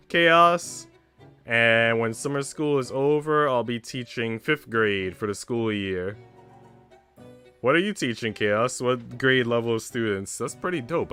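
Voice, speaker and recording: A man's voice: medium pitch, fairly bright tone, smooth. A man livestreaming, chatting casually with viewers in informal, slangy, swearing speech. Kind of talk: casual chat with stream viewers while reading aloud. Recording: music playing behind the voice. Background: background music.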